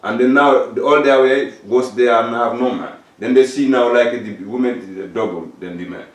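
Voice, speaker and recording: Older man, West African English accent, earnest, halting fillers, voice a bit off the mic, echoey room. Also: mid-range voice, recorded from a distance. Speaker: discussion participant. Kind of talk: casual group discussion.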